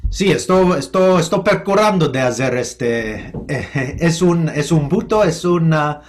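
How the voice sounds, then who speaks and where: A man who grew up speaking English, with an American accent, speaking slowly and thoughtfully as a professor giving an interview, pausing often and restarting his sentences. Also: fairly high pitch, expressive, slight background noise. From United States. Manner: halting, thoughtful, with many restarts and fillers. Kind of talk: remote interview.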